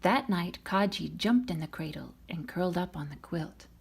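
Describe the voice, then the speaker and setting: A woman reading a children's storybook aloud in an expressive, dramatic manner. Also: fairly low pitch, moderate pace, gravelly, breathy edge. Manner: dramatic, voices the characters' lines. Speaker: storybook reader.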